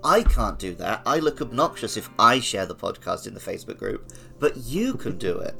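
A man's voice: lowish voice, very animated, normal speed, gravelly, bright, forceful tone, faint music behind. A man co-hosting a gaming podcast, chatting casually about the game and the show. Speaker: podcast co-host.

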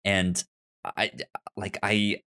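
Guy chatting about tv on a podcast, slow pace, stammers and repeats bits of words. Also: fairly low pitch, level tone, bright, forceful tone, clear, resonant tone. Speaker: podcast co-host. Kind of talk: casual TV discussion chat.

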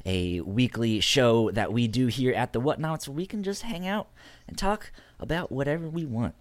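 A man hosting a podcast in an easygoing casual chat, his voice raspy from being sick. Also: medium pitch, normal speed, no room sound. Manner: easygoing, short reactions and laughs. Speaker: podcast host.

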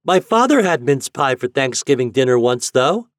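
A man's voice: sing-song, moderate pace, no echo, clean studio sound, close to an everyday voice. Man putting on an eager, piping little boy. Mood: proud shouting. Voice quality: piping, shrill but clear. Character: eager, plucky little boy, cheerful and hopeful.

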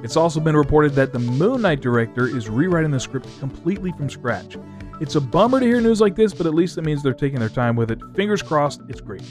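A man's voice: fairly high pitch, expressive, brisk, darkish tone. A man hosting a scripted entertainment news segment, reading as narration. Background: music.

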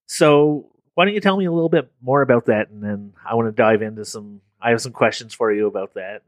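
An upbeat man with an average-pitched voice hosts a podcast and interviews guests at a film festival. He laughs often. The sound is dry and close, with no room echo. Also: brisk. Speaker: podcast host. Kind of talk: casual interview.

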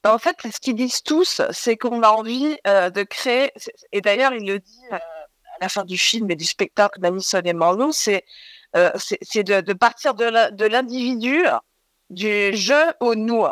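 A woman's voice: mid-range voice, normal speed, no echo. Hesitant francophone woman director, dry sound. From living in Toronto, Ontario. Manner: hesitant, many fillers and repeated words. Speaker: film director. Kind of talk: radio interview answers.

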